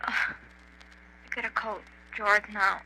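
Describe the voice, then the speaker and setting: A woman voicing an aimless, awkward, foul-mouthed young man in an indie animated horror, speaking in a hesitant, deadpan mumble. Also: mid-range voice, drawn-out words. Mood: annoyed, uneasy. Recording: faint hum and hiss.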